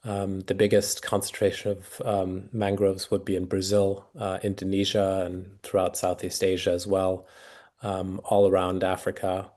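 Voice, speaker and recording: A man's voice: low voice, monotone, normal speed, dark tone. A man answering questions in an online session, hesitant, with many fillers and restarts. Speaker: guest speaker. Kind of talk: webinar Q&A answers.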